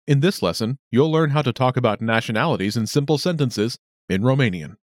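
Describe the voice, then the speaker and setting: Lively man hosting a language lesson. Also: medium pitch, brisk, no echo, clean studio sound. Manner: upbeat, clear announcer delivery. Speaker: lesson host. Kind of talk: scripted lesson narration.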